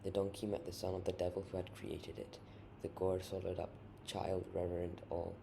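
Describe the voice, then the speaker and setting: A man narrates a short story in a grave, literary way. His voice is deep and heavily creaky, full of gravel. The amateur recording has clearly audible noise behind him. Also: monotone, normal speed. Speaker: author reading own short story. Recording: noisy amateur home recording.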